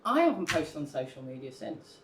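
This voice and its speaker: Halting soft-spoken mum chatting in a group, echoey room, a bit far from the mic. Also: female voice, deep voice, very animated, noisy background. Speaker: parent and community volunteer. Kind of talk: casual group discussion. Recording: roomy, mic at a distance.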